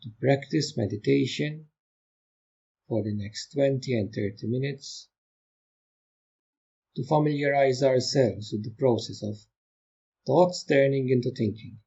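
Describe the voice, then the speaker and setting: Man doing guided meditation, soft husky voice, really slow n gentle, bit muffled recording. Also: mid-range voice. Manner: gentle, unhurried, calm instruction with long pauses. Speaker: meditation teacher.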